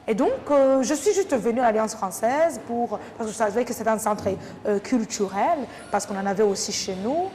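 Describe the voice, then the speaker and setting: A woman's voice: fairly high pitch, animated, normal speed, few pauses, dark tone. A woman from Rwanda with a Rwandan French accent, answering interview questions reflectively, with hesitant phrasing. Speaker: interview guest, French language teacher. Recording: noisy, echoing hall sound with long reverb.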